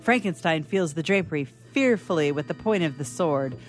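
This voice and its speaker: A woman's voice: low voice, expressive, normal speed, soft background music. A woman narrator reading scripted stage directions for a radio drama.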